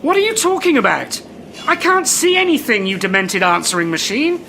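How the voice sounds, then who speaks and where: A man's voice: high voice, animated, normal speed, sharp tone, a little hiss or hum, exaggerated voice. A man with a British accent playing a flustered, pompous, exasperated supermarket manager in a comedic audio drama. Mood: baffled.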